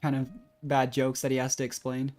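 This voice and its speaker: A woman giving relaxed, musing, casual commentary as she streams a game. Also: very deep voice, a little fast. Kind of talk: casual reactive gameplay commentary. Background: game music and dialogue.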